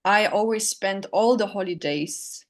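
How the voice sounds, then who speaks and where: A woman's voice: mid-range voice, a little slow. Reflective woman musician guest. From Poland, raised in Germany. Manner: reflective, thoughtful. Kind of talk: casual interview.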